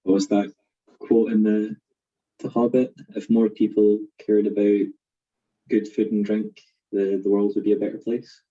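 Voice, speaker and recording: Very dark, husky man, muffled audio. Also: fairly low pitch, little pitch movement, normal speed. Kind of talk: casual book discussion.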